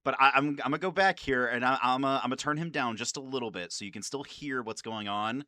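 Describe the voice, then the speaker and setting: A man's voice: mid-range voice, fast, sharp tone, no echo, studio quality. A man giving casual, nerdy, enthusiastic fan commentary about games, swearing freely as he goes. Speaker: video creator and gamer.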